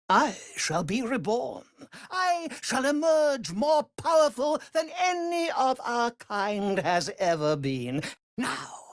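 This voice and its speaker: A cruel, aristocratic vampire master as a game villain, in a very dry, close recording. He speaks slowly, savoring his contempt, and his pitch swoops widely from menace to imperious command. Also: a bit bright, dry recording, full character voice. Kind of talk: dramatic video game villain acting.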